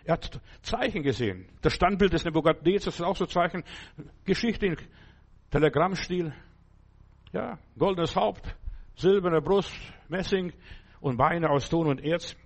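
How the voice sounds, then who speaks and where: A man of middle years delivers a sermon in a slightly higher-than-usual voice. He speaks in short bursts, jumping in pitch and loudness, and keeps asking his listeners whether they follow. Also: measured pace, long pauses. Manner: speaks in short phrases with sudden pitch and volume jumps. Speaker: preacher.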